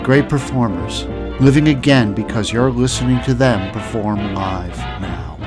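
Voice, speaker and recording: Man, mid-pitched voice, scripted podcast narration, announcer-like phrasing, clear music bed. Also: measured pace. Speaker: podcast host.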